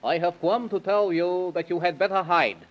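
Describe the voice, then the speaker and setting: A small, excitable magical messenger played by a male actor proclaims in a high, urgent voice. The sound is a dull vintage recording from 1930s children's radio. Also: moderate pace, lightly acted. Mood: solemn, announcing.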